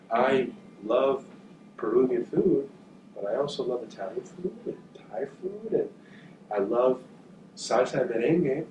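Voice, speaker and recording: Man, halting talk, frequent fillers, distant mic, echoey room. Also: medium pitch. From northern New Jersey, USA. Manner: thoughtful, halting, with frequent fillers and repeated words. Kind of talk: talk to journalism students. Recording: echoey, distant, with background noise.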